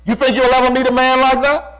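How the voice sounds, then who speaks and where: Guy preacher w a really high voice, fired up, repeats himself n throws questions at the congregation. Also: flat delivery, fast talker, a bit bright, poor audio. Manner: emphatic, repeats phrases, rhetorical questions. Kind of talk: fervent call-and-response sermon.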